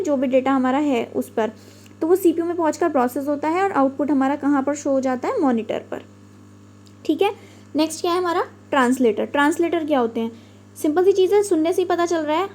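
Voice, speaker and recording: A young woman explains computer software in a lecture, patiently and with everyday examples. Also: high-pitched. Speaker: computer teacher.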